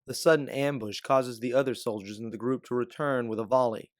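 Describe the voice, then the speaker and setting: A man's voice: medium pitch, a little fast, no room sound, clean studio sound. A man storyteller reading dramatic second-person scripted narration.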